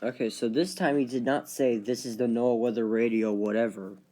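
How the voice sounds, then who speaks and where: A man's voice: mid-range voice, steady tone, normal speed, background noise. A man chatting casually as a video creator, with informal, hesitant and repetitive phrasing. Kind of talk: casual chat, reacting to radio channels.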